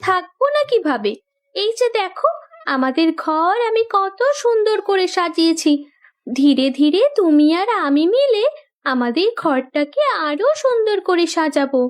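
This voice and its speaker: This is a young, rich-born bride from a cartoon tale, acted by a woman. Her voice is extremely high and girlish. She speaks earnestly and insistently, loving yet stubborn. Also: sing-song, unhurried, exaggerated voice. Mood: affectionate. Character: determined, loving young landlord's daughter turned farmer's wife. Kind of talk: cartoon story voice acting.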